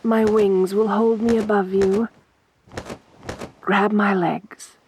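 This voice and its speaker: Woman acting as a winged siren, ASMR roleplay, medium pitch, very unhurried, soft, seductive, assertive, toying. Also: flat delivery, slight background noise, lightly acted. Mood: toying, sly. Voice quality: soft, breathy. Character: beautiful sadistic siren, winged monster woman, teasing, possessive.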